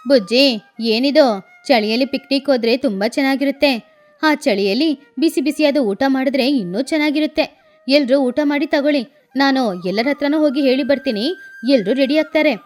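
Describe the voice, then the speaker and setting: A woman's voice: high voice, moderate pace, close to an everyday voice. A woman voicing a bossy, boastful, eccentric female crow in a cartoon story. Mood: bossy, eager. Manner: bossy, self-assured. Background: background music.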